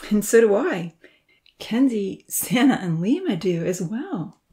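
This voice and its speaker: A woman voicing an earnest young woman in love in a story, soft-spoken and pleading. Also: mid-range voice, big pitch swings, measured pace. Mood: hopeful. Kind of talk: storybook character reading.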